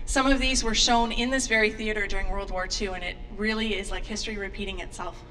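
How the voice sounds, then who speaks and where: A woman's voice: mid-range voice, fairly even intonation, quick pace, sharp tone, a little hiss or hum, poor audio. Earnest woman being interviewed. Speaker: organization representative. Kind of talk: on-camera interview remarks.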